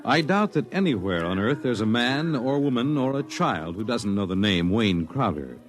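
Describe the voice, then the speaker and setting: Casting: male vintage radio narrator, mid-range voice, lively scripted delivery over music. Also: mid-range voice, normal speed. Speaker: announcer and narrator.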